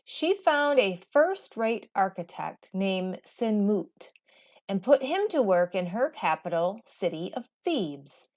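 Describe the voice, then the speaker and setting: Woman, teacher, expressive classroom read-aloud, very varied intonation, unhurried storybook phrasing, slightly dull lo-fi recording. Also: medium pitch. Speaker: teacher reading a textbook aloud.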